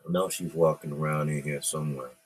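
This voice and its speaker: A middle-aged man hosting his own podcast, talking in a slow, laid-back and profane ramble, musing aloud about whatever is on his mind. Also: a bass voice, steady tone, darkish tone, a little hiss or hum. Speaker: podcast host. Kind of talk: casual rambling monologue.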